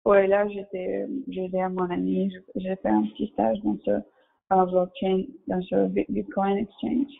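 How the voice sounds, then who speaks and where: Woman in her thirties, Russian accent, non-native speaker, creaky voice, hesitant interview answers, thin narrow-band call audio. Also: medium pitch, flat delivery, measured pace. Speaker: interview guest. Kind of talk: casual interview answers about career and work.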